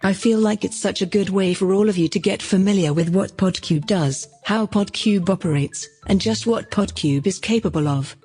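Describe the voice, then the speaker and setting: A woman's voice: medium pitch, moderate pace, hardly any pauses, mellow, soft background music, lightly acted. A woman playing a self-promoting, cheerfully smug AI who presents her own podcast in a scripted comedic monologue. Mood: smugly cheerful. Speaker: podcast creator and host.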